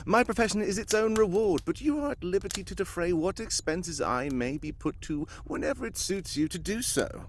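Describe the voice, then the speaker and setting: A man playing a composed, observant gentleman detective in old-time radio style, speaking with formal, precise courtesy. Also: high-pitched, animated, moderate pace, hardly any pauses, a little hiss or hum. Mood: assured. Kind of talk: cold-read live old-time radio drama acting.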